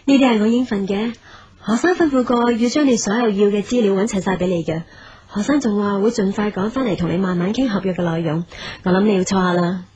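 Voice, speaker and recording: A woman's voice: mid-range voice, fast, a little mellow, lightly acted. A woman playing a chatty, obliging long-serving office employee in a radio drama, with a Hong Kong Cantonese accent. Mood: helpful, admiring. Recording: slightly dull radio recording.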